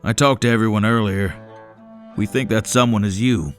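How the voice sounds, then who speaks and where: A man's voice: lowish voice, moderate pace, soft background music, lightly acted. A man playing a frank, world-weary old man, the elder of a survivor camp, in a dramatic comic dub. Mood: earnest.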